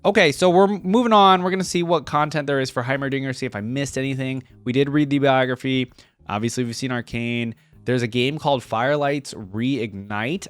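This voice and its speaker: A man's voice: medium pitch, no echo. A man giving casual reaction commentary as a host, talking informally and thinking aloud as he goes.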